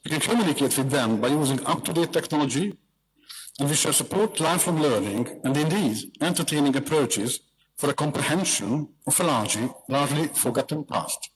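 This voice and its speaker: A man with a Hungarian accent delivers a prepared address in a measured, rhetorical manner, now and then repeating a word. His voice sits somewhat high for a man. The sound carries a long reverb, as in a large hall. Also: lively intonation, slight background noise. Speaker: museum representative giving a speech. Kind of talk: formal prepared speech.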